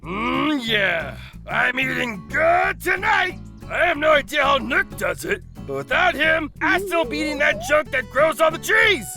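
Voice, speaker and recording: A woman's voice: sits high, sing-song, a little slow, music in the background, exaggerated voice. A woman voicing a ravenous, gleefully menacing animal villager in a hammy, gloating cartoon parody dub. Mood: gleefully greedy.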